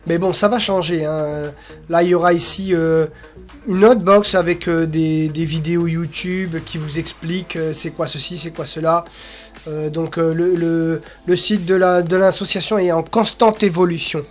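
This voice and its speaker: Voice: mid-range voice, fairly even intonation, moderate pace, faint music behind. Casual, rambling website tutorial presenter. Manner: casual, rambling, with little filler sounds while clicking. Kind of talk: informal screen-share walkthrough, unscripted.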